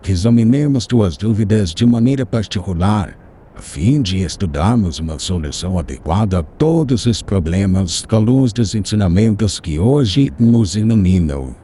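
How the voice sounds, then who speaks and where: Casting: a man playing a gentle, wise old master for a storybook reading; very low, mellow voice with lively phrasing. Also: moderate pace, slight background noise, close to an everyday voice. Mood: solemn tender. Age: older. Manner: solemn, tender counsel. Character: venerable elderly male teacher, gentle and wise. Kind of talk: audiobook character reading.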